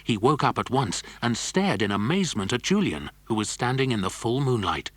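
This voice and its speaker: A man's voice: medium pitch, very animated, moderate pace, husky, no room sound. A man narrating a scripted children's adventure story.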